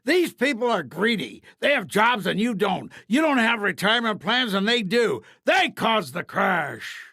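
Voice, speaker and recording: A man voicing finger-pointing politicians, accusing and emphatic, in an animated fairy tale. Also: high-pitched, a little slow, hardly any pauses, dry recording, studio quality, pitched above the performer's own voice, heavily acted.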